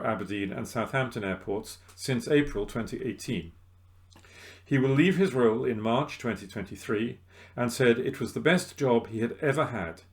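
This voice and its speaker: A man with a medium-pitched voice reads newspaper reports aloud. He is a volunteer reader recording at home, delivering headlines, bylines and articles as written. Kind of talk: read-aloud news articles.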